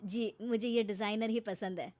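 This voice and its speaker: A woman's voice: medium pitch, fairly even intonation, moderate pace, darkish tone, lightly acted. A woman voicing a respectful, earnest young man, a student, in a cartoon moral story. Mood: polite.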